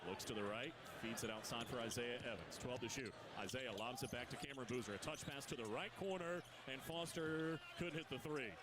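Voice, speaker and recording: Male radio basketball play-by-play voice, quick calls, loud arena noise underneath. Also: medium pitch. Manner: rapid, rising excitement on big plays. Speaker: play-by-play announcer. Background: arena crowd and bouncing basketball.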